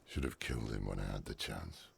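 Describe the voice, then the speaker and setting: A man playing a grizzled, weary old scholar in a dark fantasy game, gravelly, wry and grave. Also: very deep voice, fairly quick, full character voice. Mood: resolute. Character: grizzled old male scholar, dry and regretful.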